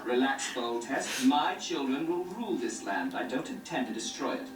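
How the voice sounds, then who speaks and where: An actor playing a smooth, placating young male prince in a game cutscene. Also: medium pitch, lively intonation, normal speed, natural voice. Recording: echoey distant game audio with music.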